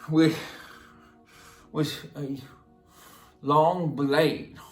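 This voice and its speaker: An actor playing a simple, slow, matter-of-fact rural Southern man who confesses in a halting, plain-spoken, repetitive drawl, as a dramatic film monologue. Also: mid-range voice, fairly bright tone, natural voice. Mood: grimly intense. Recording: roomy echo, music underneath.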